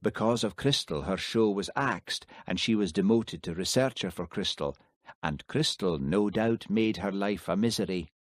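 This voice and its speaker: A man doing a calm, shrewd village constable. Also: lowish voice, normal speed, no echo, lightly acted. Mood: measured.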